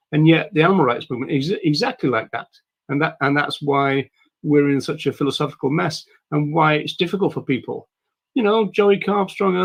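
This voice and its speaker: A man hosting a livestream who talks in a rambling way, full of fillers and restarts, with a croaky voice today. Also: a little high. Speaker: livestream host. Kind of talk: casual livestream talk.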